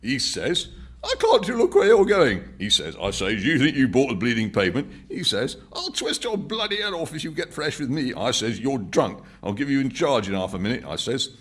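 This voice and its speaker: Man acting a husky, rambling old London working man. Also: mid-range voice, huge pitch swings, normal speed, fairly bright tone, voice pushed up, heavily acted. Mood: indignant, animated. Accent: London working-class. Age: elderly. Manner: garrulous, reminiscing, colloquial. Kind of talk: dramatised novel reading.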